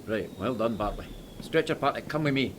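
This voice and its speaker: An actor playing a brisk, decisive army corporal giving orders in a war audio drama. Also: male voice, medium pitch, animated, slight background noise, lightly acted. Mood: brisk, commanding. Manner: brisk, commanding.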